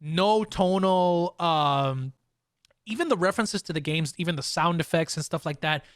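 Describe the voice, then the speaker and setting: A man hosting a casual podcast, talking excitably and repeating phrases for emphasis. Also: a little high, normal speed, no echo, studio quality. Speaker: podcast host.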